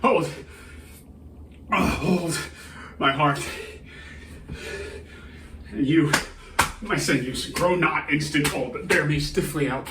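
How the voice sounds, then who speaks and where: A grieving, embittered prince, acted by a man declaims a Shakespearean stage soliloquy in a fairly high male voice. He speaks very slowly and haltingly, brooding low and then crying out. The sound is distant and echoing, as if recorded across a room. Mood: shocked, fervent resolve. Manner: halting, declamatory, swinging from hushed to anguished outcry. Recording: roomy, distant microphone, faint noise.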